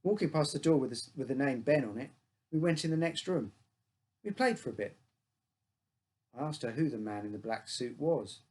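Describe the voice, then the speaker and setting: A man's voice: mid-range voice, expressive, moderate pace, long pauses, a little mellow. A man reading his own short story aloud as a first-person narrator, in simple childlike phrasing.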